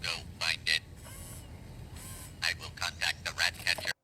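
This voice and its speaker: A man's voice: low-pitched, monotone, unhurried. A man voicing a bossy, officious droid that gives clipped orders in a science fiction drama.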